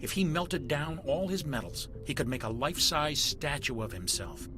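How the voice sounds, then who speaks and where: A man's voice: on the high side, sing-song, normal speed, over music. An actor playing a wary, plain-spoken male military ship's officer in a video game, respectful but emphatic and suspicious.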